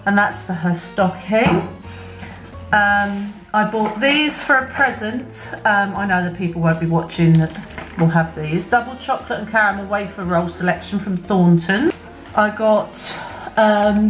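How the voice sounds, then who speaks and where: A middle-aged British woman chatting casually to camera for a haul video, rambling and correcting herself as she goes. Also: mid-range voice. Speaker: shopping haul vlogger. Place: home kitchen, indoors. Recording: echoey kitchen, faint background music. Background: faint music.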